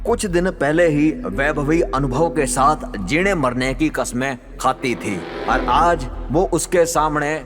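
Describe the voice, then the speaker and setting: A man's voice: a little high, measured pace, in a large hall. Haryanvi man narrating a dramatic story over music. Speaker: narrator of an audio drama series.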